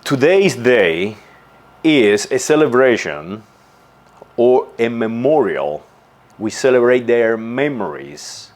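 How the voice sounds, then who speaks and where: A man's voice: medium pitch, sing-song. A man delivering an earnest, insistent monologue as a channel host, often stumbling and repeating words before going on. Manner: halting, with frequent restarts and repeated words. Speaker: channel host giving a commentary. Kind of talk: unscripted spoken monologue.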